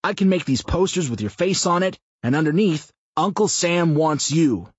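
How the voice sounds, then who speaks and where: A man voicing a crude, loud, foul-mouthed man who jokes and mocks in an audiobook. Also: a little high, animated, normal speed, slightly rough, no echo, lo-fi sound, voice pushed up. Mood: brash. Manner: brash, swearing, mocking.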